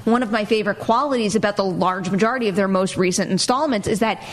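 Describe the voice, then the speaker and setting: A woman's voice: mid-range voice, fast talker. A woman hosting a movie news panel, talking casually and analytically in long, flowing explanations. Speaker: host of a movie news talk show.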